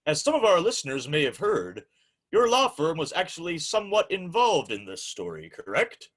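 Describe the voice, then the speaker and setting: A man's voice: fairly high pitch, huge pitch swings, moderate pace, a bit bright, full character voice. A man playing a formal, courteous, easily flustered host of an old-time radio show, with theatrical delivery. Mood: formal, welcoming.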